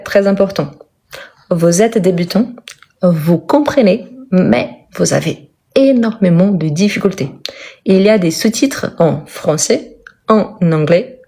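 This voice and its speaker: A Brazilian-born woman whose first language is Portuguese, teaching French in a live online lesson, speaking slowly and simply and often repeating words for emphasis. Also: on the low side, mellow. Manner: slow, careful, simple speech for learners.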